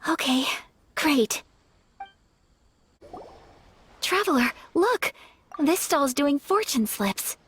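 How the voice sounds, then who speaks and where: A woman's voice: high-pitched, big pitch swings, slow pace, fairly bright tone, a little hiss or hum, heavily acted. A woman playing a polite, modest young noblewoman in a video game, speaking formally and earnestly. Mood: delighted.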